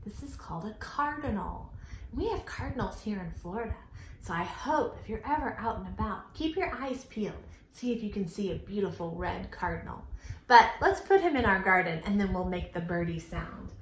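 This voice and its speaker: A woman leading an interactive storytime for young children in an animated, encouraging, sing-song way. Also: mid-range voice, normal speed, roomy sound, a little hiss or hum, low-quality recording. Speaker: children's library storytime host.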